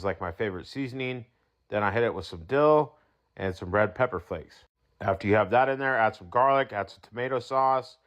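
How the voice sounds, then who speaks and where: A man giving quick, upbeat cooking instructions with casual slang. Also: on the low side, a bit bright, highs cut off. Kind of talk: quick recipe video voice-over.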